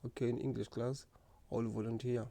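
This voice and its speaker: A man telling his own story in a slow, earnest, reflective way, stuttering often with repeated words and restarts. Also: medium pitch, little pitch movement, vocal fry, dark tone. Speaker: speaker sharing lived experience. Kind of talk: personal reflective monologue.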